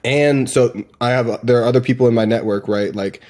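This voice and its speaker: A man's voice: mid-range voice, fairly even intonation, fairly quick, a little mellow. Casual, enthusiastic man giving a demo. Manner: informal, slangy, enthusiastic. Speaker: presenter demonstrating AI voice assistants.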